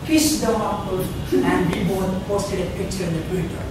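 A young man with an Indian accent and a high voice tells a tall tale as a prepared speech. He delivers it theatrically, with emphatic phrases and dramatic pauses. He is far from the mic in a very echoey room with clear background noise. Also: steady tone. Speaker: club speaker. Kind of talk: rehearsed comic storytelling speech. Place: meeting room with a small audience. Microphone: far camera. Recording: echoey room, distant camera mic, noisy.

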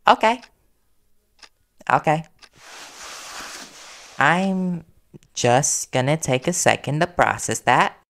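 Worried reporter boyfriend played by a man, audio roleplay; creaky, conversational, earnest and gently confrontational. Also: on the high side, lively intonation, slow pace, pauses often, heavily acted. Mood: shocked, flustered.

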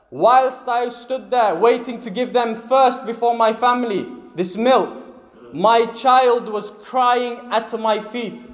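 A man delivering a Friday sermon as a preacher, retelling a story with emphatic, drawn-out invocations. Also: very high-pitched. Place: mosque prayer hall. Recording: echoey hall with long reverb.

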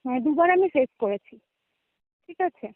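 A woman's voice: high voice, lively intonation, moderate pace, no echo. A young adult woman with a West Bengal Bengali accent tells a personal story casually as a caller, often checking in with her listener, over a narrow phone connection. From West Bengal, India. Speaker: guest caller. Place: remote call, dry sound. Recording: narrow tinny phone-call audio.

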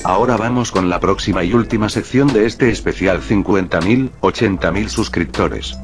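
A man in his thirties, a gaming YouTuber, calmly explaining things in voice-over narration. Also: on the low side, normal speed. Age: 30s. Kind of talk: voice-over narration over gameplay footage. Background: background music.

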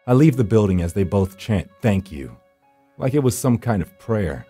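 A deep, husky male narrator, a grim and world-weary hunter of the supernatural. He tells his story solemnly and broodingly, like a horror game monologue. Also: very animated, moderate pace, dark tone, soft background music. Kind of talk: dramatic scripted first-person narration.

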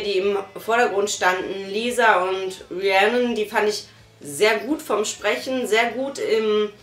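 A woman in her thirties giving a casual, thoughtful review on a sofa, pausing often with fillers. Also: mid-range voice, a bit bright. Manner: thoughtful, hesitant with many fillers. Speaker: podcast reviewer. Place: small living room, on a sofa. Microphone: far camera. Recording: echoey room from far off.

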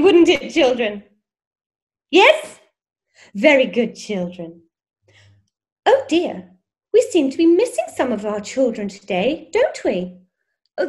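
An actress plays a prim lady teacher on a spoof children's show. Her voice is high and swings widely, with a slow, sing-song delivery. She is gushing and jolly, with condescension and menace underneath. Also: exaggerated voice. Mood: mock sweet. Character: prim, gushing, sinister children's TV schoolteacher woman. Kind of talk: parody children's show presenting.

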